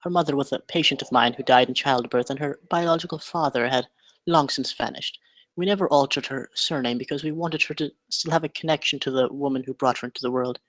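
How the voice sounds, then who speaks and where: Man playing a measured, articulate doctor, dry sound. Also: medium pitch, fairly bright tone, no echo, close to an everyday voice. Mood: solemn, reminiscing. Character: educated melancholy male doctor, dreamy captive scientist. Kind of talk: script table read acting.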